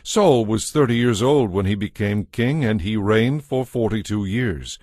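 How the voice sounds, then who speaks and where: A man narrating a dramatized scripture story in a measured, solemn, scripted storytelling style. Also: fairly low pitch, lively intonation, a little mellow, dry recording, studio quality.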